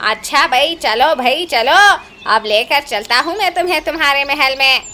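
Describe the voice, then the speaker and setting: A woman's voice: high voice, moderate pace, bright, forceful tone, a little hiss or hum. A woman voicing a put-upon, complaining male crow in a cartoon, full of exclamations. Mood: grumbling. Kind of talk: Hindi cartoon voice acting.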